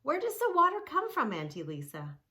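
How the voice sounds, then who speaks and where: A woman's voice: sits high, huge pitch swings, a little fast, bright, forceful tone, slightly rough, voice pushed up, full character voice. A woman voicing a curious young girl on a mountain hike, asking eager questions. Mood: delighted. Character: young girl hiking with her aunt, curious.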